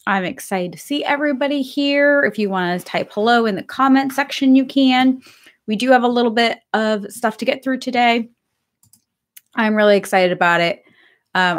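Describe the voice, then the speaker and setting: Upbeat woman on a live stream, a bit hoarse, chatting at her desk webcam. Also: on the high side. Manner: upbeat, chatty, says she is excited. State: slightly hoarse. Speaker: pet grooming business host. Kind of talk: casual live-stream talk. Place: home office with bookshelves, indoors.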